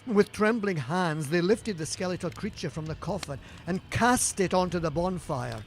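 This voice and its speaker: A man's voice: high-pitched, normal speed. A man narrating a scripted gothic horror tale in a theatrical, suspenseful storytelling manner with swelling emphasis. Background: music.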